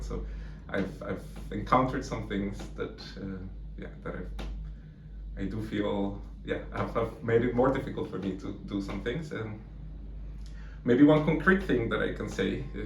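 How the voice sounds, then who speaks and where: Soft-spoken man in a roomy echo. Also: on the low side. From Colombia. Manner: thoughtful, many fillers and restarts. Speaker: mathematics lecturer. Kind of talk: unscripted interview answers. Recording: echoey room from far off, faint noise.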